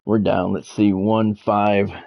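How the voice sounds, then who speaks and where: A man's voice: lowish voice, little pitch movement, slow pace, gravelly. An American man chatting casually and doing sports commentary as a game streamer, informal and wry with a self-deprecating streak. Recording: dull, lo-fi microphone sound.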